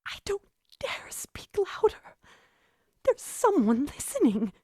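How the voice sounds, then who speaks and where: A woman acts out an imperious, anxious invalid wife in a radio thriller. Her voice is extremely high and swings widely in pitch, fretful and dramatic, recorded dry and close. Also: huge pitch swings, slow, dark tone, no echo, exaggerated voice. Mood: frightened, hushed. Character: nervous, imperious invalid wife, bedridden, increasingly terrified. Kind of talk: old-time radio thriller acting.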